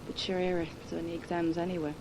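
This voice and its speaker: A teenage girl from Cork with a Cork Irish accent, talking fast and jokingly on a tape letter, quick to protest and laugh. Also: fairly low pitch, little pitch movement. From Ireland. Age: late teens. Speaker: schoolgirl recording a tape letter. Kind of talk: casual chat recorded on a home cassette. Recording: hissy 1980s cassette tape.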